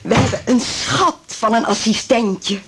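An actress playing a genteel, calculating doctor's wife in a radio drama, with affected, gushing sales chatter. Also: female voice, fairly high pitch, unhurried, very noisy. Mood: gushing, persuasive. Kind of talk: 1980s Dutch radio drama acting.

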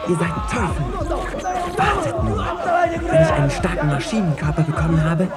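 A woman's voice: lowish voice, huge pitch swings, normal speed, loud music behind the voice, over a noisy crowd. A woman playing a fierce, vengeful young orphan boy in a German radio drama. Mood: furious, vengeful.

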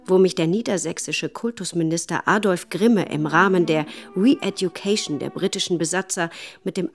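An actress playing a sober, grave widow who narrates her own story in a measured way in a radio play. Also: on the low side. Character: sober widow recounting exile and survival. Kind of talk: scripted first-person radio drama narration.

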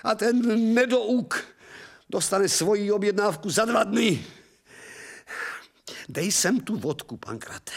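An actor playing a weary, bitter, irascible old professor in a theatrical radio drama. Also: male voice, high voice, animated, gravelly, long pauses, exaggerated voice. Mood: scornful, agitated. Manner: theatrical, swinging from resigned murmur to agitated exclamation.

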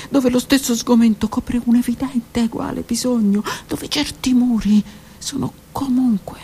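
A woman's voice: medium pitch, gravelly, dark tone, slight background noise. A woman narrating her own literary text for a radio theatre piece, intimate and often dropping to a whisper. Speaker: author and narrator.

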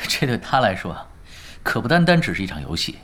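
A man's voice: fairly low pitch, sing-song, fairly bright tone. A man acting in an audio drama as a shrewd, teasing young gaming veteran who talks casually and without hurry. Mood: calm, explaining. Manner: casual, unhurried, lightly teasing. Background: background music.

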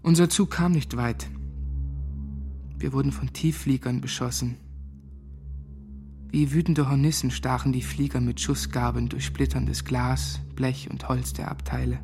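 A sombre young man voiced by an actor recalls his past in literary radio-drama monologue. His voice is gravelly and of medium pitch, with music underneath. He sounds haunted. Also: steady tone, unhurried, long pauses, mellow, close to an everyday voice. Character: haunted young man, former Nazi boarding-school pupil.

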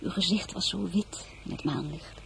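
An actress playing an earnest, emotional young crown princess in a radio play, speaking softly and wistfully, then urgently. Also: female voice, on the low side, moderate pace, gravelly. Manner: soft and wistful, turning urgent and pleading. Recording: noisy old radio play recording.

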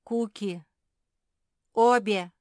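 A woman's voice: fairly low pitch, unhurried, dark tone, dry recording, studio quality. A woman voicing an anxious male dog in a children's story, beseeching and insistent as a peacemaker. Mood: pleading.

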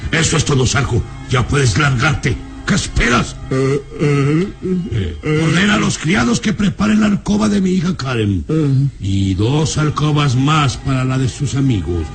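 An actor playing a sinister, imperious baron in an old-time radio drama, brooding and contemptuous. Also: male voice, mid-range voice, expressive. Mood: impatient, scornful. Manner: imperious, brooding, contemptuous, drawn-out deliberate phrases. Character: sinister, scornful baron, a commanding father. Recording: music under the voice. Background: dramatic music.

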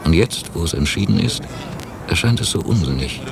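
A low male voice plays a doubtful, brooding man in a radio play. He reflects in a measured way. The old cassette copy carries clear hiss and noise. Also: animated. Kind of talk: radio drama inner monologue and dialogue.

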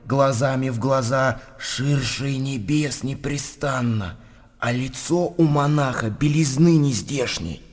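An actor playing an awed, simple gossiping monk in a radio drama, with a hushed, folksy way of speaking. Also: male voice, mid-range voice, unhurried, natural voice. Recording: long hall reverb.

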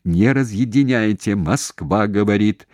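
A narrator plays an angry, impatient military man in an audiobook, shouting commands as if down a telephone. The voice is raised well above its usual pitch, forceful and animated, with a dry studio sound. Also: normal speed, no echo, close to an everyday voice. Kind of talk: audiobook character voice.

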